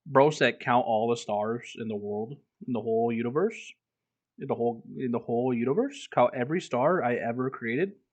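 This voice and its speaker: A man's voice: medium pitch, normal speed. A man reading a book aloud as a streamer, breaking off into casual, irreverent, swearing commentary. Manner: irreverent, profane asides.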